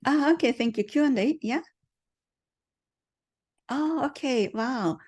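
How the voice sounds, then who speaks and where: A woman's voice: sits high, slow, darkish tone. A woman presenting to an online audience on a video call, hesitant with many fillers, often asking the audience questions.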